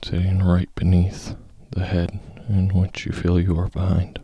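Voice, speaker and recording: A man leading a guided meditation, speaking in a calm, measured, gently instructive manner with long pauses. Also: deep voice, slightly rough, no echo.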